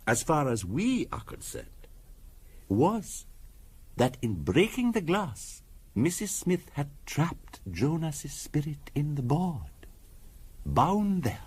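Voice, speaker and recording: Radio drama role: grave, courteous mystic storyteller, portentous and formal, husky, very expressive in pitch. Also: male voice, slow, heavily acted. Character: solemn, courteous male mystic recounting a family curse. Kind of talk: 1970s radio drama acting.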